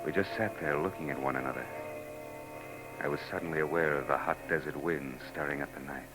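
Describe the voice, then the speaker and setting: A man's voice: a bass voice, a little fast, over music, poor audio. An actor playing a wry, weary male private eye in a 1940s radio drama, dry and sardonic. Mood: brooding. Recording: old radio broadcast with hum.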